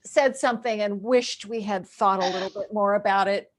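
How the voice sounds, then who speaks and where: A woman's voice: medium pitch, moderate pace. A woman hosting a casual, conversational interview. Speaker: interviewer, host.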